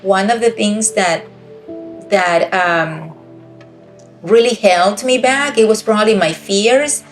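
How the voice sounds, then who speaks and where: A woman's voice: mid-range voice, slow, long pauses, soft background music. A middle-aged woman from Costa Rica with a Costa Rican accent, giving an earnest, encouraging personal testimony over a video call. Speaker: guest speaker.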